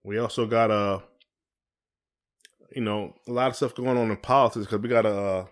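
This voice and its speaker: A man's voice: on the low side, steady tone, normal speed. A man with an American accent hosting a podcast in casual, colloquial conversation. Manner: colloquial, often checks in with "you know". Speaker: podcast host.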